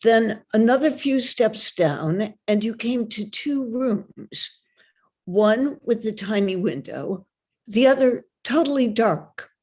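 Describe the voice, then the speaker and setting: A woman reads a first-person story in a measured storytelling manner. She takes her time, with a slow pace and a medium-pitched voice. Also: darkish tone, slightly rough. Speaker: reader. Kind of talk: dramatic reading of a first-person memoir-like story.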